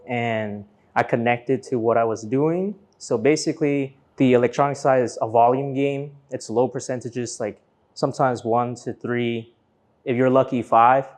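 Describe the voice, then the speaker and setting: A man's voice: medium pitch, steady tone, moderate pace, darkish tone. A man from Vietnam who grew up in Florida, giving casual, informal interview answers that explain things step by step. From born in Vietnam, grew up in Florida from age nine. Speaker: interview guest, business owner.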